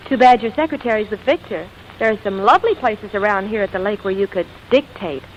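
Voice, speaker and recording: An actress playing a skeptical, sharp-tongued woman pursued by an old sweetheart in a 1940s radio romantic comedy, with wry retorts. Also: medium pitch, a little hiss or hum, lightly acted. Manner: sardonic, quick with wry retorts. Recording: dull vintage radio recording, music behind.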